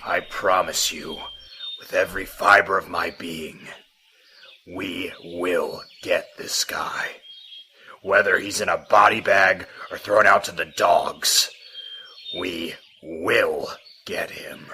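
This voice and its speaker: A man's voice: deep voice, fairly even intonation, slow, creaky, pushed and bright, exaggerated voice. A man playing a grieving, vengeful police detective with an intense, determined manner in an amateur radio drama. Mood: grim resolve. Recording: faint background noise.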